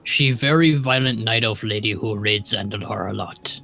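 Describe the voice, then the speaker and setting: A man's voice: on the low side, normal speed, creaky, bright, forceful tone, soft background music, exaggerated voice. A man voicing a simple-minded, earnest adventurer in a tabletop roleplay, speaking in broken grammar and calling himself by name.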